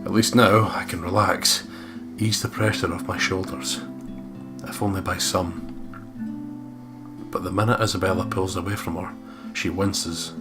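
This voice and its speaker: A low-voiced man co-hosts a let's play. He reads story narration aloud and chats casually about the game, with music clearly audible behind him. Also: steady tone, a little slow, long pauses. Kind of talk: reading visual novel narration aloud with casual commentary.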